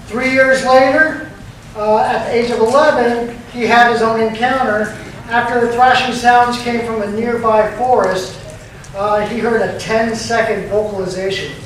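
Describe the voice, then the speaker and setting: A man's voice: very high-pitched, fairly even intonation, a little slow, a bit bright. A man hosting a live festival event, introducing the next speaker with a hesitant delivery full of fillers. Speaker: festival MC and host. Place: event venue before a crowd. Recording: echoey hall, distant mic, background noise.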